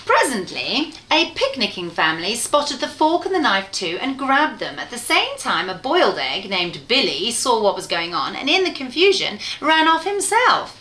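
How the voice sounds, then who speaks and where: Animated woman storyteller in an echoey room. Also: on the high side, normal speed, hardly any pauses, a little hiss or hum. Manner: expressive, playful, addresses the listener directly. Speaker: storyteller reading a picture book to children. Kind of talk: animated bedtime story reading. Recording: roomy, echoey room sound.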